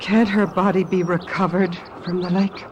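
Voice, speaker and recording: An actress playing a knowing, sardonic sister-in-law in a radio mystery drama, arch and cutting. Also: female voice, on the low side, flat delivery, normal speed, darkish tone, highs cut off, a little hiss or hum, natural voice. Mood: controlled.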